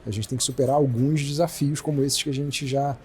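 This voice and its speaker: Fast-talking Brazilian executive, mellow voice. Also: male voice, medium pitch, slight background noise. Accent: Brazilian Portuguese. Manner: fast, animated explaining with many restarts. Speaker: company president, guest. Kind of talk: casual business interview.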